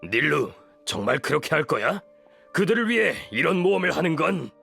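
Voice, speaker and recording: An actor playing an earnest, protective man in a video game, with a slightly creaky voice. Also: a little high, sing-song, moderate pace, pushed and bright, soft background music, full character voice. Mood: worried. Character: earnest, protective man, sighing but caring ally. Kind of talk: video game dialogue acting.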